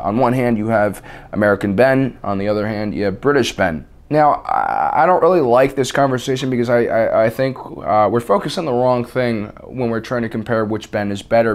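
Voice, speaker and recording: A man hosting a scripted video essay, with a slightly raspy voice and a deadpan, mock-serious delivery. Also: fairly low pitch. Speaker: host.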